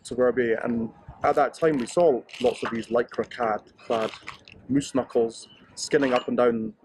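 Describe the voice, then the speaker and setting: A man's voice: mid-range voice, fairly even intonation, measured pace, darkish tone, a little hiss or hum, rough recording. A Scottish man in his thirties, answering interview questions with enthusiasm and frequent restarts. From Scotland. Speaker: interviewee, ski racer.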